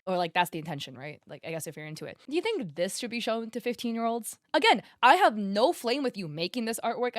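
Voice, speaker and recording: Blunt young woman with a low voice. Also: no echo, studio quality. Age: 20s. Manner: blunt, exasperated, talks straight to viewers. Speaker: commentary video host. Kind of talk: casual commentary, reading from a document.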